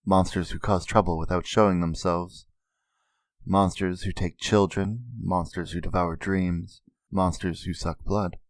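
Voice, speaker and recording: A man voicing a brooding monster that poses as a human, in a hushed, slow, confessional monologue. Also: sits low, no echo, pitched below the performer's own voice. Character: brooding, self-loathing monster posing as a human.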